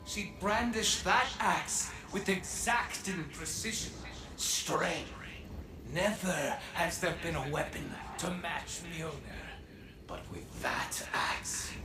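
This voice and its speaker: An actor playing a hearty male drinker's spirit in a video game, a slow, theatrical, awestruck storyteller. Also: fairly high pitch. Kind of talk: video game drama acting. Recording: echoing voice with background noise.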